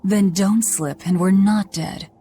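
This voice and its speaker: A woman's voice: mid-range voice, steady tone, takes it slowly, mellow, slight background noise, close to an everyday voice. An actress playing a stern, hardened young demon woman in a video game, speaking in a blunt, cold, dismissive way. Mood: curt, determined. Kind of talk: English video game voice acting.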